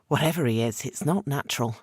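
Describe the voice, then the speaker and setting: Male narrator as a quiet, uneasy young heroine, plain voice, dry. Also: mid-range voice, fast, breathy edge, no room sound, natural voice. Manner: quiet, hushed. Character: young female warrior, uneasy, cautious.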